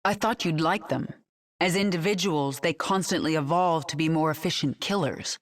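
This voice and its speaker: A woman's voice: sits low, normal speed. An actress playing a vengeful, defiant queen of an alien swarm in a video game, commanding, sardonic and resolute. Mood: watchful. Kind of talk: video game cinematic acting. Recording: music clearly behind the voice.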